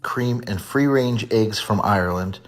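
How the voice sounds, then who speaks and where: A man's voice: fairly low pitch, unhurried. A man giving a casual taste test review for a video.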